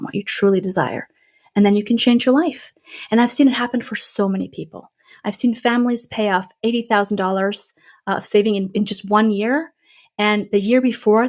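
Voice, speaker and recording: A woman money coach, a native Norwegian speaker, talking as a guest in a casual podcast interview, lively and playful, often acting out little scenes. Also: medium pitch.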